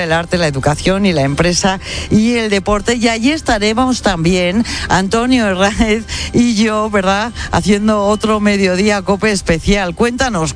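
High-voiced man reporting live on radio. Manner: lively, chatty, addresses listeners directly. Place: outdoors at a street event.

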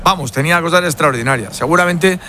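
A man's voice: fairly high pitch, quick pace, faint music behind. A man, a former footballer, chatting as co-commentator and reminiscing about old players. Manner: reminiscing, relaxed and opinionated. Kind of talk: casual commentary chat.

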